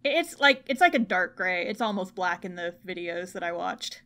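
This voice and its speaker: A woman co-hosting a podcast in casual chat, playful and quick to exclaim. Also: fairly low pitch, animated, sharp tone. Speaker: podcast co-host. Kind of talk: casual chat about idol anime.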